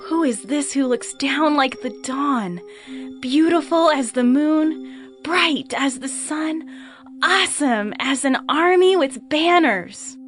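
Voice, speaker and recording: A woman's voice: high voice, takes it slowly, faint music behind. A woman playing an admiring young woman of a wedding chorus, speaking poetically in a scripture drama. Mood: awed.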